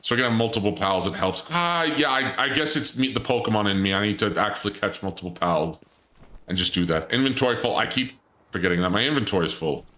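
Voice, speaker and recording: A man's voice: fairly low pitch, animated, fast, pushed and bright, roomy sound. A man streaming a game, chatting casually and joking while he reads chat aloud. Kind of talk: casual gameplay commentary.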